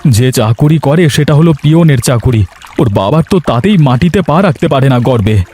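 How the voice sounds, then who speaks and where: A man's voice: mid-range voice, animated, moderate pace, dark tone, close to an everyday voice. A man playing an anguished, devoted young village farmer in a melodramatic cartoon, earnest and lamenting. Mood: bitter. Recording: music behind the voice. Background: background music.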